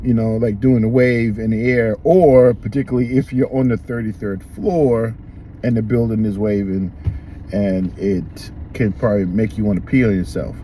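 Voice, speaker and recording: A man in his fifties from New York, vlogging casually in a car while he drives, rambling slowly with many ums and restarts, filmed on a phone held at arm's length. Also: fairly low pitch, steady tone, recorded from a distance. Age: early retirement age, 50s. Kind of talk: casual vlog talk while driving. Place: inside a car, driving. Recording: phone mic held back, loud car and road noise. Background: car and road noise.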